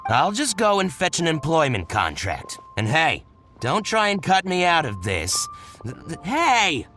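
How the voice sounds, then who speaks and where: A man's voice: on the high side, wildly swooping pitch, a little slow, sharp tone, soft background music, heavily acted. A man voicing a shrewd, possessive, self-interested merchant in a video game, with a fussy, dramatic, haggling manner. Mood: flustered, suspicious. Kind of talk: video game character voice acting.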